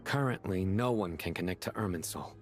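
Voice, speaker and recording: Man playing a low, stern, blunt general. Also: a little fast, music in the background, close to an everyday voice. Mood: grave, resolute. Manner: direct, serious, formal. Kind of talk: game voice acting.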